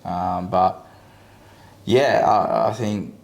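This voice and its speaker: Thoughtful Australian man, lowish voice. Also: darkish tone. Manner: measured, thoughtful, many "um"s. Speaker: guest. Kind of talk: casual podcast conversation.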